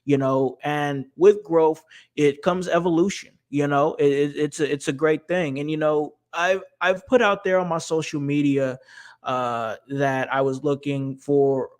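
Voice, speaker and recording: A man with a fairly high voice hosting a wrestling talk show. He rambles in a loose, friendly way, circling back on his words and leaning on fillers as he goes. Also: steady tone, a little slow, smooth. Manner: rambling, many restarts and fillers. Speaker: podcast host. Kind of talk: casual livestream chat.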